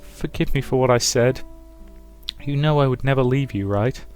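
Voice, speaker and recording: A man's voice: medium pitch, normal speed, a little mellow, pitched above the performer's own voice, close to an everyday voice. A man voicing an abusive, volatile mother in a visual novel, with theatrical, emphatic delivery. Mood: tender, controlling. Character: abusive mother, volatile, domineering, cloying when remorseful. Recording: music behind the voice.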